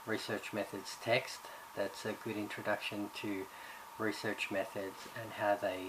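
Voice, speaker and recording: A man's voice: on the low side, level tone, measured pace. A man from Ireland, raised in Australia, giving a recorded course introduction as subject coordinator, talking hesitantly with many fillers and restarts. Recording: background noise.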